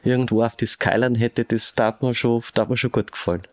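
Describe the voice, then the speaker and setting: A man's voice: mid-range voice, level tone, fairly quick. A man in his fifties from Bavaria with a Bavarian accent, answering conversationally as a detective, in wordy, hedging phrases. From Regensburg, Bavaria. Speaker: police detective, podcast guest.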